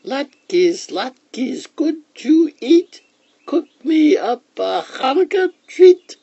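Man doing an eager, high-pitched young girl. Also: huge pitch swings, measured pace, husky, no echo, highs cut off, exaggerated voice. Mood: chanting. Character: kind, eager young girl, caring big sister.

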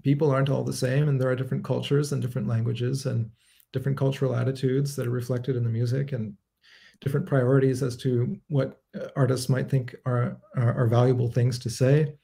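Man, 30s, composer, livestream Q&A, home study, webcam, measured and reflective, gravelly, mellow. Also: mid-range voice, flat delivery. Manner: thoughtful, measured, with frequent fillers and self-corrections. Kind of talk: reflective, unscripted answers to viewer questions. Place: home study lined with bookshelves. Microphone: webcam framing at desk, livestream.

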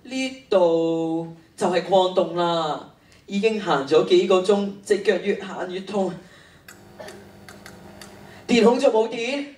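A man's voice: high-pitched, slow pace, pauses often, a little mellow. Teen guy playing troubled hiker schoolboy, hong kong cantonese, radio play, roomy far off sound. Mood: weary, hopeless. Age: teenager. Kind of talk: radio drama acting. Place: stage of a large hall. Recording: echoey stage, distant mic, faint noise.

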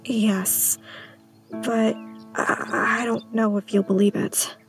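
A woman playing a reserved, uneasy small-town schoolteacher in an audio drama, speaking in a hesitant, hushed way. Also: a little high, level tone, slow. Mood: uneasy, guarded. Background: music.

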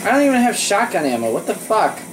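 Gamer, hoarse, frightened, cursing, very lively pitch, faint background noise. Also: fast. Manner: frightened, swearing, bursts of yelling at enemies. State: voice giving out. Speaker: gaming channel host. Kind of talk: live let's-play commentary. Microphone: gaming mic.

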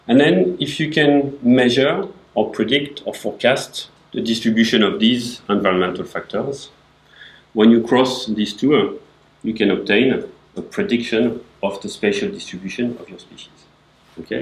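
A middle-aged man giving a relaxed classroom lecture, hesitant with many fillers, recorded by a camera at a distance. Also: mid-range voice, roomy sound. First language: not Norwegian. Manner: hesitant, many "uh" fillers, gestures while talking. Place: classroom with projector screen. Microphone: far camera.

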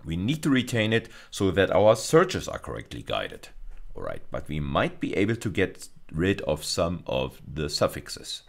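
Male lecturer, middle-aged, very low creaky voice, animated intonation, careful explanations with repeated key phrases. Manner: deliberate, repeats phrases for emphasis. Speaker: university lecturer.

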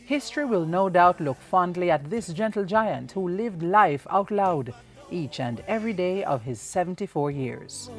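A woman reading scripted news narration in a formal, solemn, measured manner. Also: lowish voice, animated. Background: music under voice-over.

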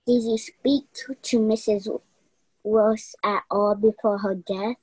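A woman playing a cool, condescending investigator in a mystery radio play, deliberate and measured. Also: medium pitch, fairly even intonation, darkish tone, slightly rough, no room sound, poor audio. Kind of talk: stage-style mystery radio play acting.

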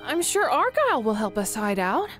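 Young echidna guardian girl, acted by a woman: high, lively, earnest, easily flustered, music behind. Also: normal speed. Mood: sheepish. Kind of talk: animated comic dub acting.

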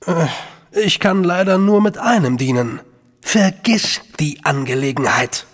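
An actor playing a courteous, guarded middle-aged gentleman official in a German radio play, with a gravelly, husky voice and measured, hemming delivery. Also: a little high, expressive, big-room sound. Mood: guarded, pointed. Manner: courteous, measured, hesitant hems. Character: suave, guarded gentleman official, Sherlock's brother.

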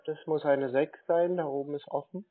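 A man on a phone call, talking as a confused customer in a halting, dithering way and repeating himself. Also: fairly high pitch, steady tone. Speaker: scam baiter playing a gullible customer. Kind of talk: phone call, slow put-on pensioner act. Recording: dull band-limited phone line.

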